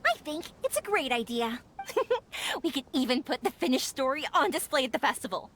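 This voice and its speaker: A woman playing a friendly, upbeat young woman shopkeeper in an English video game, bubbly and expressive. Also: sits high, normal speed, slight background noise. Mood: bubbly, encouraging.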